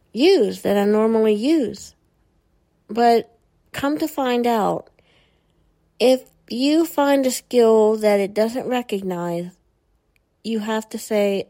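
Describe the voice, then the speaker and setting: A woman's voice: on the high side, mellow. A woman demoing a smart speaker, giving it short commands and casually explaining what it does. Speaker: presenter demonstrating a smart speaker. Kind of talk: casual demo walkthrough.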